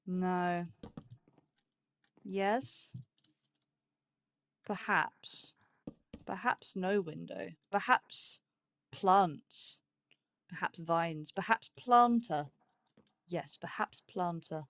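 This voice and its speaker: A woman's voice: fairly low pitch, drawn-out words, long pauses, a bit bright. A woman giving casual gameplay commentary as host, thinking aloud in short, broken phrases and playfully talking to herself. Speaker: let's play host.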